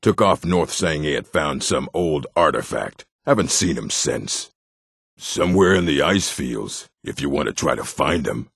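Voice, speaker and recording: An actor playing a gruff, scornful male orc in a fantasy video game, with a rough voice and a weighty, emphatic delivery. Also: lively intonation, measured pace, fairly bright tone, dry recording, clean studio sound, heavily acted. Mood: somber. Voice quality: deep, gravelly, rough.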